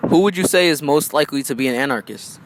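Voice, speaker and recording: A very quick, businesslike 1920s radio host acted by a man moves the show along with folksy period slang. The recording is amateur, with light noise behind the voice. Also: a little high, lightly acted, rough recording.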